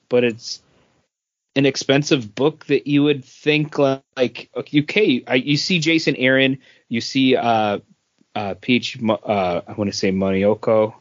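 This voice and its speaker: A man's voice: mid-range voice, dry recording, lo-fi sound. A man co-hosting a podcast, giving his take in casual, conversational chat. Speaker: podcast co-host reviewing comics.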